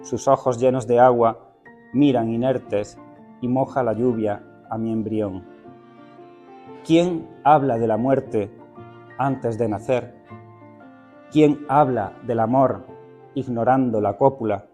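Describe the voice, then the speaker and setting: Man reading poetry, mellow and unhurried. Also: mid-range voice, faint music behind. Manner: unhurried, thoughtful, with hesitant fillers. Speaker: teacher reading poetry at a literary event. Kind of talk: poetry reading with spoken introduction.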